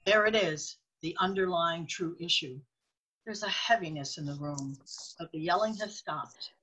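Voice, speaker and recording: Husky, low-voiced female narrator. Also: moderate pace, sharp tone, low-quality recording. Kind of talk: scripted radio drama narration.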